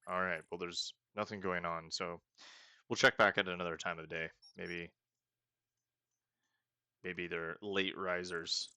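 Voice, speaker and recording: A man's voice: low-pitched, flat delivery, measured pace, long pauses, pushed and bright, clean tone, no room sound, clean studio sound. A man casually commenting while he plays a video game, thinking aloud and hedging often. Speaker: let's play host.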